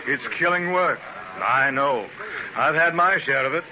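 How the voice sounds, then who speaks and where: A man's voice: fairly high pitch, unhurried, crowd noise, close to an everyday voice. An actor playing an encouraging older farmer, a proud father, in a 1930s radio drama. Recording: muffled, noisy 1930s radio transcription.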